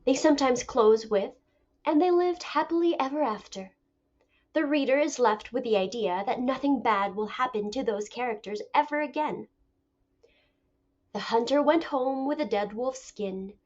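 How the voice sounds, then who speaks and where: A woman teacher reading a picture book aloud as a lesson, with deliberate, expressive storytelling. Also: fairly high pitch. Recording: dull lo-fi recording.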